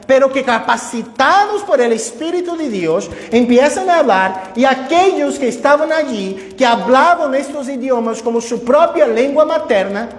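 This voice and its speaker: A pastor whose first language is Portuguese gives an expository sermon in a church, teaching with conversational asides and personal examples. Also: male voice, very high voice, expressive, normal speed, few pauses. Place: church sanctuary. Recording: echoey church hall with long reverb.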